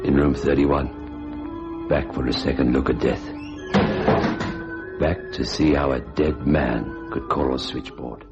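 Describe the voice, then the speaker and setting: A man's voice: a bass voice, steady tone, takes it slowly, pauses often. A man playing a nervous, guilty hotel night clerk in a radio thriller, hesitant and haunted. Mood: brooding dread. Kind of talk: radio thriller acting with brooding narration. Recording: dull radio drama recording with music.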